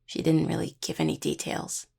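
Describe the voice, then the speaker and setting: An anxious young necromancer acted by a woman in an intimate audio roleplay, devoted and earnest. The voice is heavily creaky and husky, and the words come out hesitant and stammered. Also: low-pitched, flat delivery, normal speed, dark tone, full character voice. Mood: worried, hurt.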